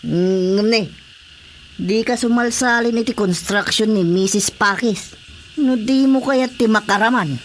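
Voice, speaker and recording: An actress playing a touchy, defensive village councilor in a radio comedy drama, scolding and interjecting in an Ilocano accent. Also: female voice, a little high, unhurried, slight background noise, lightly acted. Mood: defensive, indignant.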